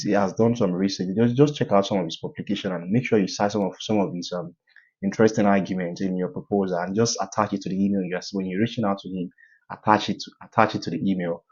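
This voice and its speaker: A man walking viewers through a form in a tutorial, giving advice in a halting way with many filler sounds. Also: low voice, steady tone, darkish tone, slightly rough, dull audio. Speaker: scholarship advice YouTuber. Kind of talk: screen-share walkthrough tutorial.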